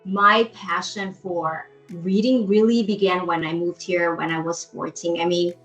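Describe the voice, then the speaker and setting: A woman's voice: on the low side, fairly even intonation, measured pace, a bit bright, faint music behind, poor audio. A middle-aged woman from Brazil with Portuguese as her first language, speaking as an enthusiastic podcast guest who repeats words for emphasis. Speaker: podcast guest, hotel industry professional. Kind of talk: casual interview chat.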